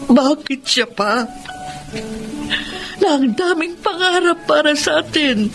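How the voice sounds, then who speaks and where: Grieving Filipino mom, high pitch, highly animated, radio melodrama. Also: female voice, takes it slowly, music in the background, heavily acted, rough recording. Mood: anguished. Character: anguished, reproachful grieving Filipino mother. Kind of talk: Filipino radio drama acting.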